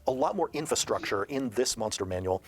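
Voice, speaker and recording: A man's voice: medium pitch, expressive, fairly quick. A man presenting a game book as its designer, explaining it methodically in repeated, list-like phrasing. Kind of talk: explanatory interview talk. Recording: faint noise with clicks.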